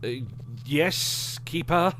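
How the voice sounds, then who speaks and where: A man's voice: a little high, very slow. A man playing a chastened, obedient councillor in an audio drama, hesitant and deferential. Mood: cowed. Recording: loud noise and clicks.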